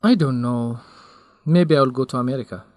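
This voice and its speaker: A man's voice: mid-range voice, normal speed, a little mellow, no echo, lightly acted. An actor playing a young male researcher in a radio drama, lovesick and stubborn, speaking in an earnest, defensive way.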